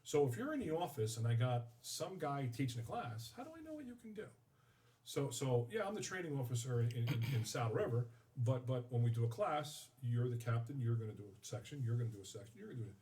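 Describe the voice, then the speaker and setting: A middle-aged man speaks at an average pitch. His delivery is rapid and candid, with repeated words as he searches for phrasing. He sits a little far from the microphone. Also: sing-song, warm tone. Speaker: guest, firefighting instructor and training officer. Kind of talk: candid conversational interview. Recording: voice slightly distant from the mic.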